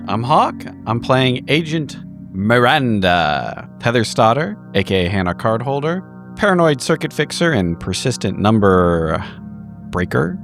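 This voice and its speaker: A man narrating a recap of a tabletop horror game in measured, literary storytelling language. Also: medium pitch. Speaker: player in a tabletop horror roleplaying show.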